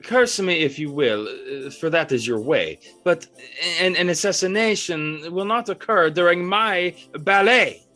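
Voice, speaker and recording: A man's voice: fairly high pitch, expressive, pushed and bright, soft background music. A man acting as an indignant, fussy court ballet master in an audio drama, pompous and stammering. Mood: defiant, haughty. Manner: halting, stammering, pompous wording.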